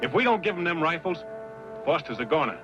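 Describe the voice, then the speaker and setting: Anxious settler, higher-pitched. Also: male voice, sing-song, brisk. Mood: worried. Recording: muffled old film soundtrack, music behind.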